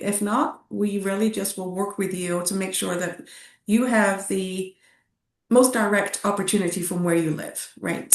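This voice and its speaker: Woman travel host, upbeat, laughs often, echoey, distant mic. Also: on the low side. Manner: upbeat, laughing, frequent affirming murmurs. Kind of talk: casual webinar chat.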